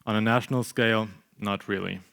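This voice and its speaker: Detached, sceptical young Lebanese man, read by a man for a staged play, smooth and fairly low. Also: steady tone, fairly quick, a bit bright. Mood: disillusioned. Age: young adult. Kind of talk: staged reading of a short play.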